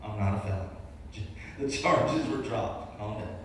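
A man's voice: on the low side, slow pace. A young man preaches his testimony in a large church, and his voice rings through a very echoey hall. He is picked up from a distance, with faint room noise underneath. His delivery is casual, rambling, and self-deprecating. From Arkansas. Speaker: guest preacher. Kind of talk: testimony sermon to a congregation.

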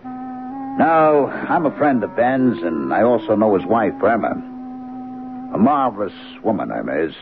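An actor playing a blunt, authoritative police captain in an old-time radio drama, questioning and commanding. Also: male voice, lowish voice, huge pitch swings, unhurried, over music, heavily acted. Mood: wry, confiding. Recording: muffled, noisy old radio broadcast recording.

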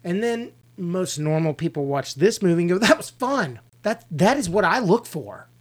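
A man's voice: high-pitched, sing-song. Blunt Southern man ranting about movies. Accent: Southern American. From American South. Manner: blunt, opinionated, quick to rant. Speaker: podcast co-host; actor. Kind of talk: casual movie review chat.

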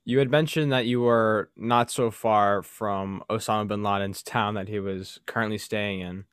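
A man's voice: fairly low pitch, steady tone, moderate pace, a bit bright, clean tone, no room sound, clean studio sound. A man hosting a podcast interview, asking earnest questions and correcting himself, saying "like" and "you know". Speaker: podcast host.

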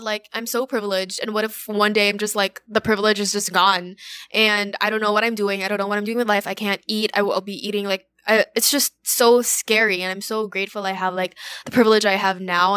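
A young woman with a slightly raspy voice hosting a solo podcast, rambling and self-deprecating with lots of fillers and giggles, recorded on a phone. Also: medium pitch, pushed and bright, no echo. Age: young adult. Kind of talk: casual solo chat. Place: at home, alone. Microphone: phone wrapped in a sock.

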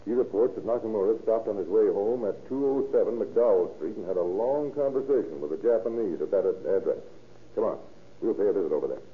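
A muffled vintage radio recording of a man acting as a gruff police captain. His voice is very low, with clipped, slangy, commanding delivery in the manner of an old crime serial. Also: fairly quick, lightly acted. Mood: brisk. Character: gruff, brisk middle-aged male police captain investigating a murder. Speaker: actor in a radio crime drama.

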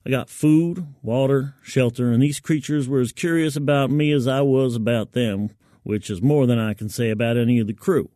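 Male fiction reader, medium pitch, colloquial and wry first-person storytelling, very dry recording. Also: moderate pace, mellow, dry recording. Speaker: fiction narrator.

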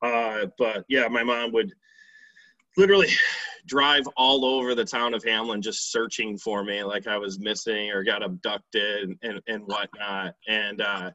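Joking bright-voiced male podcast host. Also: on the low side, fairly even intonation, a little slow, no echo. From upstate New York. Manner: joking, teasing banter. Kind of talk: casual interview chat. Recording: dull lo-fi sound.

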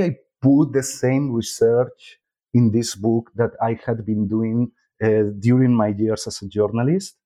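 Spanish-accented man, low mellow voice. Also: poor audio. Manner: thoughtful, frequent hesitations and repeated words. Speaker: author and journalist. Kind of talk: interview conversation.

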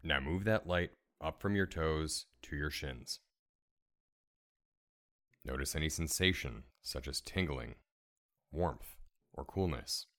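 A man leading a guided relaxation meditation in a calm, soothing, measured way, giving gentle step-by-step instructions. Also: rumbling low. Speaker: meditation guide, podcast host.

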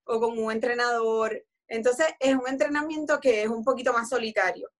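A woman, explaining her routine in a relaxed, conversational way full of fillers. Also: a little high, normal speed. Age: 40s. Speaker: guest. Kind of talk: casual conversation about fitness.

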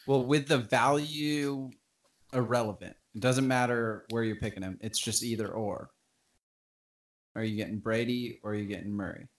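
A man's voice: medium pitch, normal speed, roomy sound. Man hosting a casual podcast. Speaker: podcast host. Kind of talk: casual chat.

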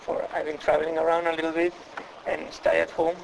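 A man's voice: a little high, completely flat delivery, brisk, vocal fry, a little hiss or hum, rough recording. A teenage boy from Spain with a Spanish accent, answering in a shy, hesitant way. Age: teens. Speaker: exchange student guest.

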